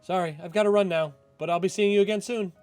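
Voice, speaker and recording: A man puts on a polite young-sounding woman customer for a visual novel. The voice is lifted high and light, breezy in manner, over music. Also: fairly even intonation, quick pace, darkish tone, close to an everyday voice. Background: game music.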